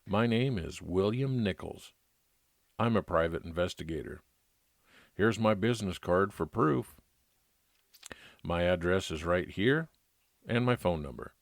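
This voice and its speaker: Low-voiced private eye, acted by a man. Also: gravelly, dry recording, studio quality, heavily acted. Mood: polite, probing. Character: world-weary, wry male private investigator narrating his case. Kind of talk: old-time radio noir narration and dialogue.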